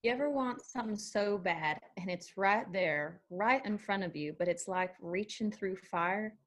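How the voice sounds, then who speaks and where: A woman's voice: mid-range voice, normal speed, natural voice. A woman playing a guarded, wistful, plainspoken young woman in a screenplay table read.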